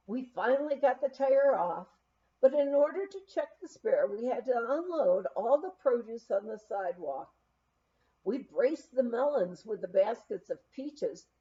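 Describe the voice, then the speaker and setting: A woman narrating a memoir as an audiobook, reading in a measured, expressive storytelling way with deliberate phrasing. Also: on the high side, muffled recording.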